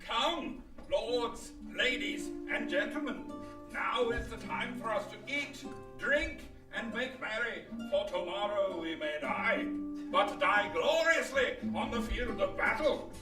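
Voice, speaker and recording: Pompous mock-medieval knight, really high and slow, theatrical radio comedy, roomy stage sound. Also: male voice, expressive. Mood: pompous, merry. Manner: theatrical, archaic proclaiming. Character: grandiose male costumed knight of mock medieval battles. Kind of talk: old-time radio comedy acting. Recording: roomy distant live stage, music behind.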